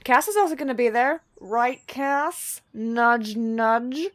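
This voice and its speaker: Woman doing a cocky young trickster girl, kinda high voice, loud, slangy, all over the place. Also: slow. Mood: excited. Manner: loud, excitable and slangy, with sudden jumps in pitch. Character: brash young female prankster muse, cheeky and chaotic. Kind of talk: fantasy audio roleplay acting.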